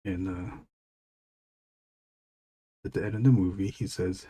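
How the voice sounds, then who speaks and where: A man with a husky voice, chatting casually with his live-stream viewers as he draws, rambling and asking them questions. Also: low-pitched, fairly even intonation, measured pace, warm tone. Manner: rambling, talks to chat readers. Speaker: illustrator streaming his drawing. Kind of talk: casual live-stream chat while drawing.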